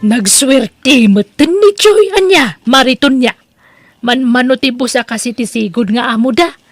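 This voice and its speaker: A woman's voice: a little high, unhurried, no echo, clean studio sound, soft background music. A woman with an Ilocano Filipino accent playing a proud, admiring mother figure in an audio drama, chatty and full of exclamations.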